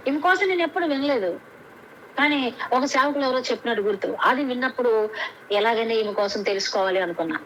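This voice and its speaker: A woman's voice: fairly high pitch, highs cut off. A middle-aged woman giving an earnest Bible message over a conference call, asking questions and answering them herself. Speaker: Bible teacher. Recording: faint background noise on a conference call.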